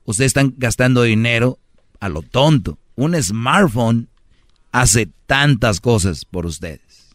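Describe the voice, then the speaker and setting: A man's voice: mid-range voice, expressive, slow, dry recording, clean studio sound. A man hosting a radio show, teasing callers and lecturing in a mock-preachy way, dragging out words for emphasis. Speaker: radio host.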